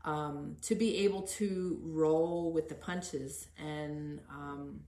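A middle-aged woman with a notably low voice, recorded in an echoey room. She hosts a conversational interview and speaks with earnest encouragement. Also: fairly even intonation, slow, warm tone. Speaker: podcast host interviewing.

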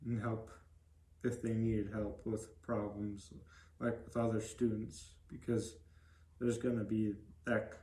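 A man's voice: lowish voice, little pitch movement, slow pace, mellow. A young adult man giving plain, brief answers as a guest in a casual interview. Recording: roomy echo.

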